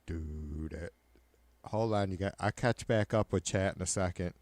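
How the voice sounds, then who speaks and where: Raspy, nasal, foul-mouthed man streaming. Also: low-pitched, measured pace, a little mellow, dry recording. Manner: profane, blunt, joking. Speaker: livestreamer. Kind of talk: casual rambling livestream chat.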